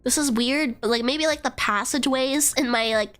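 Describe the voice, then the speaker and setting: A young woman with a high, nasal voice, stuffed up from a cold, chatting loosely on a live stream. She meanders and curses casually, leaning on "like" between thoughts. Soft music plays faintly underneath. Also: moderate pace. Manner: chatty, swears freely, trails into fillers. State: congested from a cold. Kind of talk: casual rambling stream chat.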